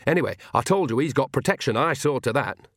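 A man voicing a bold, crafty old witch in an audiobook, brisk, colloquial and commanding, with a sly streak. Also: medium pitch, animated, fairly bright tone, no room sound, clean studio sound. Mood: firm, reassuring.

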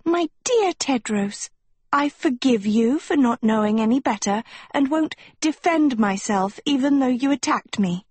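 A woman's voice: high-pitched, animated, measured pace, darkish tone, breathy edge, dry recording, pitched above the performer's own voice, full character voice. A woman voicing a vain, indignant teenage girl in an audiobook, melodramatic and overwrought. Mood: gushing, pleading.

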